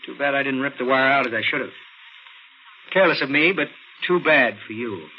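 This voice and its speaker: A man's voice: medium pitch, expressive, brisk, natural voice. Male actor, American tough-guy killer, commanding, clipped delivery, 1940s radio drama, dull noisy recording. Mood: cold, menacing. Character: cold-blooded racketeer murderer posing as a policeman. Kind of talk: 1940s radio thriller acting.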